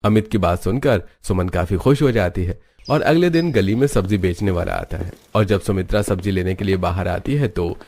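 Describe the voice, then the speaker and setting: A man's voice: low voice, normal speed, hardly any pauses, darkish tone. A man narrating a scripted cartoon moral story.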